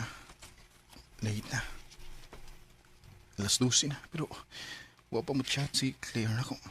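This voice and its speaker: A man's voice: medium pitch, lightly acted. Guy acting young cebuano boyfriend in a radio drama, earnest and trusting, mid voice, lots of noise on the recording. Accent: Filipino, Cebuano.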